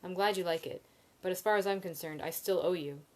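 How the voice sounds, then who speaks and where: A shy, generous young woman student with a soft, modest way of speaking, as a woman reading aloud voices her. The voice is low, much like the reader's own. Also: fairly quick, lightly acted. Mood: gentle.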